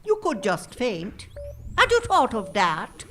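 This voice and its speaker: Woman playing a slow-drawling sinister nurse. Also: fairly high pitch, wildly swooping pitch, fairly bright tone, heavily acted. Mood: mock-sweet menace. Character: sly, sardonic sinister nurse, villain's accomplice. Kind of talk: live stage radio drama acting. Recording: live stage recording with faint noise.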